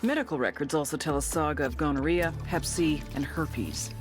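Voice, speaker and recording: A woman's voice: low-pitched, normal speed, natural voice. An actress playing a tough, sardonic female intelligence agent, narrating a game briefing in a wry, foul-mouthed way over music. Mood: sardonic, storytelling. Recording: music behind the voice.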